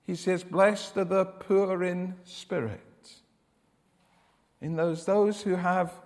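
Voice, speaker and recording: A man preaching a sermon with a loud voice, emphatic and given to repeating his words as he starts a sentence. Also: high voice, steady tone, slow pace, in a large hall.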